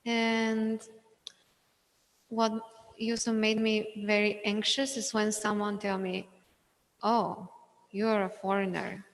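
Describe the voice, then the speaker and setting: A woman from Bulgaria, an audience member sharing a personal experience hesitantly, with pauses and restarts. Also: mid-range voice, level tone. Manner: hesitant, many fillers and restarts. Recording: long reverb in a hall.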